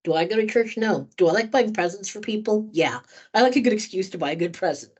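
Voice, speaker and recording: A woman's voice: medium pitch, quick pace, slightly rough, highs cut off. A woman trainer answering questions in a conversational workshop, candid and thoughtful, speaking at length. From United States.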